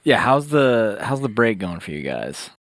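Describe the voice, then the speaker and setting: A man's voice: fairly low pitch, sing-song, a little slow, fairly bright tone, no echo, studio quality. A man chatting casually as the co-host of a podcast. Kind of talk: casual chat.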